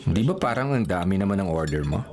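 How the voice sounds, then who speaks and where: A man's voice: sits low, very animated, fast talker, darkish tone. An actor playing a smitten, teasing young male professor in a Filipino radio romantic comedy, suave and understated with a Filipino accent. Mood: amused. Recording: music bed behind the voice.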